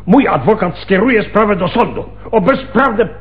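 An actor playing a mild, courteous elderly gentleman, quietly defiant, in a Polish radio play. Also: high voice, animated, highs cut off. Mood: indignant. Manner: courteous, deliberate.

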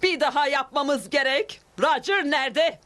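A woman's voice: high voice, a little slow, a bit bright. A woman voicing an earnest, exasperated cartoon ghost hunter. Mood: insistent. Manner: earnest, insistent. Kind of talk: cartoon dubbing.

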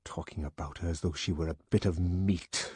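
A man's voice: lowish voice, very animated, moderate pace, dark tone, slightly rough, no echo, studio quality, full character voice. A man voicing a brooding, resentful, lovesick man muttering to himself in an audiobook. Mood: bitter. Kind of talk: audiobook character acting.

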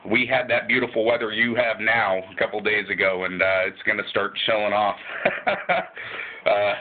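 Easygoing radio guy, lowish voice, spelling out call signs, tinny sound. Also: normal speed. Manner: relaxed, laughs easily, spells call signs phonetically. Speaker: ham radio operator. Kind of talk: radio contact chat.

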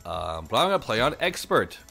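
A man's voice: mid-range voice, sing-song, fairly quick, creaky, bright, forceful tone, faint music behind. A young man whose first language is Japanese, chatting casually and mocking himself while he plays a puzzle game for his channel. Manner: rambling, self-mocking, chatty. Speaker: gaming channel host. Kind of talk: casual gameplay commentary.

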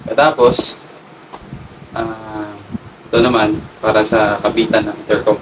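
A man with a Filipino accent giving a casual hands-on demo, haltingly thinking aloud as he works. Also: on the low side, level tone. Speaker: motovlog host. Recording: distant mic with clear background noise.

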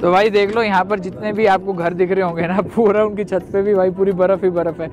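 A young man filming a travel vlog outdoors on a snowy train platform and inside a train, chatting excitedly with his viewers. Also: high-pitched, brisk, dull audio. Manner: excited, chatty, keeps calling viewers brother. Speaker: travel vlogger. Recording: background music mixed under the voice. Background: music.

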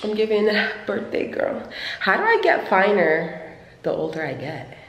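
A woman's voice: mid-range voice, sing-song, fairly bright tone. Chatty woman in her twenties, echoey room. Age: 20s. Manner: playful, chatty, drawn-out emphasis. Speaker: vlogger. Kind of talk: casual vlog to camera. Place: hotel room, indoors. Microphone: camera held at arm's length.

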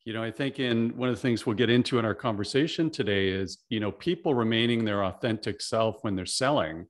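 A man's voice: fairly low pitch, brisk. A middle-aged man from Canada hosting an interview over a video call, friendly and full of "you know" fillers. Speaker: podcast host. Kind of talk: casual interview chat. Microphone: video call, desk mic.

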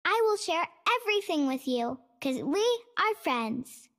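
A sweet little cat-eared forest girl from a video game, squeaky and very high. She speaks slowly and giggles often, with clear noise behind her. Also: expressive, a bit bright, full character voice.

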